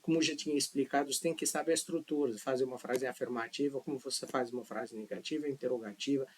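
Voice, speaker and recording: Man with a slightly high voice teaching. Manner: multilingual, switches between languages, emphatic rhetorical questions. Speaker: language teacher, channel host. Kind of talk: casual spoken lesson to viewers.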